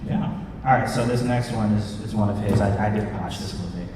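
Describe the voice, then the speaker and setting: A man's voice: on the low side, little pitch movement, brisk. A man hosting a fan panel about films, chatting informally and slangily in front of an audience. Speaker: panel host. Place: large convention panel room with audience. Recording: very echoey hall, distant mic, crowd noise.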